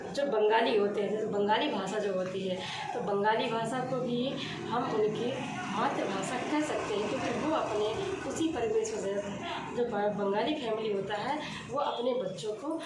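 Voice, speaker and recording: Woman teacher in an echoey room. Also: on the high side. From India. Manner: asks questions and answers them herself, repeats key points. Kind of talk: classroom grammar lesson. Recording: echoey room, far from the mic, steady noise.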